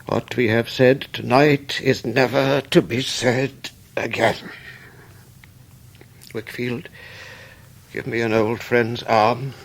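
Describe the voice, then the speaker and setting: An actor playing a gentle, honourable old scholar in a period radio drama, speaking with a British accent in a halting, earnest, dignified way. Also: male voice, mid-range voice, steady tone, creaky. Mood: sorrowful, dignified. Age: older.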